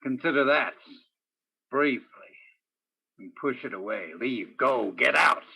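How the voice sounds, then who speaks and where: An actor playing a world-weary police detective who narrates a radio play in a deliberate, dramatic way with heavy pauses. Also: male voice, medium pitch, lively intonation, gravelly, sharp tone, slightly rough, heavily acted. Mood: brooding, intense. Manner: deliberate, dramatic, poetic second-person delivery with heavy pauses. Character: world-weary, brooding male police detective named Danny. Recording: dull, lo-fi sound.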